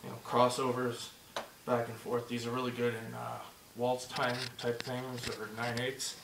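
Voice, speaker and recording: A man teaching a movement drill step by step, encouraging and repeating himself, slightly hoarse and short of breath. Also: medium pitch, completely flat delivery, measured pace, echoey room, recorded from a distance, background noise. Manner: encouraging, repeats "just like that" and "good". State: losing his voice, a bit out of breath. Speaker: instructor. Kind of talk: step-by-step demonstration lesson.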